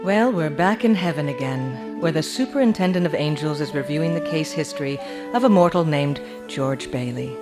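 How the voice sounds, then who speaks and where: Female narrator, low and very expressive; music bed under. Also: normal speed, hardly any pauses. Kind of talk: scripted radio narration.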